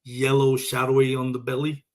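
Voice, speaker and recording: A man's voice: mid-range voice, monotone, normal speed, darkish tone. Casual male show host from Puerto Rico. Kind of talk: casual live show interview.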